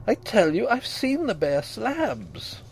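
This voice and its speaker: A man's voice: high voice, sing-song, slow pace, vocal fry, a little hiss or hum, pitched above the performer's own voice, full character voice, poor audio. A man playing a panicky young husband. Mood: frantic. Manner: agitated, pleading. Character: frightened young husband, frantic then sheepish.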